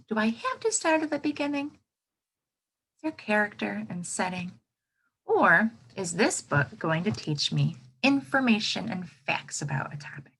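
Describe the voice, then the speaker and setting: Female teacher for a children's read-aloud: very lively pitch, unhurried delivery, expressive, explains things simply as she goes. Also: low-quality recording.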